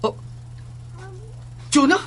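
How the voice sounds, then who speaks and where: A nervous schoolboy son , as an actor plays him in a horror audio drama. He speaks hesitantly and stammers through his questions to his mother, with faint noise behind. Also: extremely slow. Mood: uneasy, questioning.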